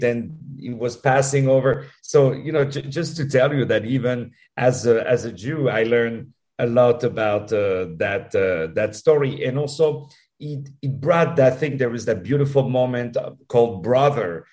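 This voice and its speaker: A man with a French accent, a guest answering interview questions in a halting, effusive way with many restarts. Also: mid-range voice. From France. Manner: halting, repeats words, warm and effusive. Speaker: musical producer guest.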